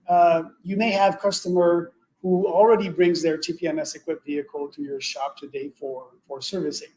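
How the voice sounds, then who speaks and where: A man with a fairly high voice delivers a semi-scripted product pitch as a webinar expert. His delivery is halting, with slightly non-native English phrasing. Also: darkish tone, poor audio. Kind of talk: semi-scripted sales presentation.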